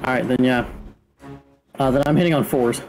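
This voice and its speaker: A man's voice: medium pitch, moderate pace, darkish tone. A man playing a tabletop game, talking casually and working out rules step by step. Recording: music running under the voice. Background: music.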